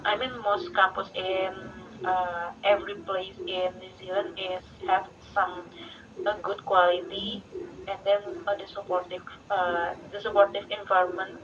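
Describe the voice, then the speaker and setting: A woman with an Indonesian accent giving a hesitant, informal presentation, searching for words in English as a second language. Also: mid-range voice. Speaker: participant presenting in a talk group. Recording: muffled, noisy, distant online call.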